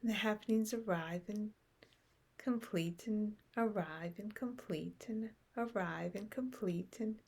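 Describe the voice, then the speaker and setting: A woman leading a guided meditation in short, unhurried phrases with long pauses, softly trailing into breath at the ends. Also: medium pitch, gravelly, slightly rough. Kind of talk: guided meditation, unscripted and contemplative.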